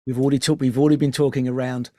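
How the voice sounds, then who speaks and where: A man's voice: mid-range voice, flat delivery, racing, dark tone, no room sound, studio quality. A man speaking as an interview guest, giving conversational advice in an emphatic way with rhetorical questions. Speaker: podcast guest, audio and music professional.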